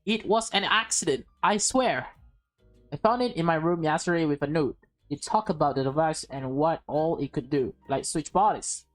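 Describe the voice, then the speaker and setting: A woman acts out a nervous young man from a visual novel game. The voice is halting, earnest and apologetic, over game music. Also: deep voice, close to an everyday voice. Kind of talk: visual novel read aloud in character.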